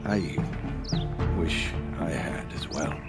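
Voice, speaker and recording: An actor playing a grave, courteous keeper of a hunters' lodge in a video game, speaking formally like a solemn storyteller. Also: male voice, sits low, takes it slowly. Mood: solemn recounting. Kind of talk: dramatic video game dialogue. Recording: music behind the voice.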